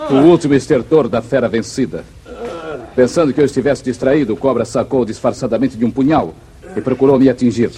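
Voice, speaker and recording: An actor playing a calm, commanding western hero of the backlands in a 1950s Brazilian radio serial, speaking formally with a Brazilian accent. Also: male voice, medium pitch, brisk, close to an everyday voice. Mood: composed. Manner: composed, authoritative, formal. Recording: noisy muffled vintage radio recording.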